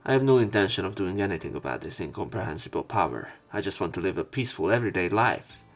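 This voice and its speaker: Low-voiced man narrating over music. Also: animated, fast talker. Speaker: let's player narrating a visual novel. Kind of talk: reading visual novel narration aloud with commentary. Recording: music playing behind the voice. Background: game music.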